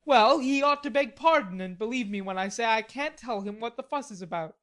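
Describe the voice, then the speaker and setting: A woman reading an audiobook, voicing a stubborn, hot-tempered young gentleman in indignant outbursts. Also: fairly high pitch, animated, a little fast, pitched above the performer's own voice, natural voice. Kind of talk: audiobook character voice.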